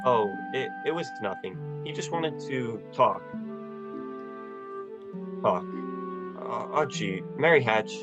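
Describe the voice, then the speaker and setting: A man's voice: mid-range voice, lively intonation, slow pace, long pauses, dull audio, over loud music. A young man playing an earnest, stammering, hot-headed small-town husband in a folksy 1940s radio drama style. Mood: dejected. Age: young adult.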